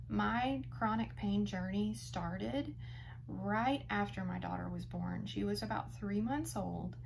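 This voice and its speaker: Earnest gravelly-voiced woman in her thirties. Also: mid-range voice, noisy background. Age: 30s. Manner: earnest and empathetic, speaks directly to the viewer. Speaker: vlogger sharing her chronic pain story. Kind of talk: heartfelt personal testimonial.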